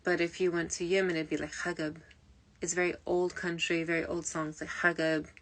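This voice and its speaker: A woman's voice: fairly low pitch, level tone, brisk, fairly bright tone. A woman talking as a spiritual medium in a rambling, digressive late-night stream, full of fillers and restarts, with faint music behind her. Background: faint early nineties music.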